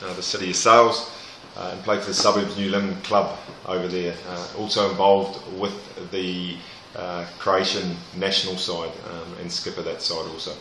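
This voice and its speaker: A man's voice: deep voice, little pitch movement, normal speed, a bit bright, a little hiss or hum. A man, the team captain, answering interview questions hesitantly with many fillers. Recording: very echoey room.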